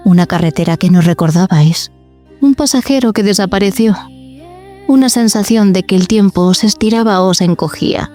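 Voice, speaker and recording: Casting: female podcast host, Spain accent, very mellow mid-range voice, enigmatic scripted delivery. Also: medium pitch, moderate pace, faint music behind. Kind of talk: scripted narration.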